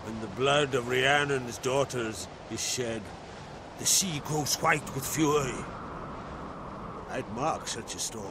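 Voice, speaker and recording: An actor playing an older island king and father in a video game cutscene, speaking in a steady, measured, paternal way. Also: medium pitch, noisy background. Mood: calm, paternal. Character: older jarl, father of two grown children. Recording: game audio with music behind.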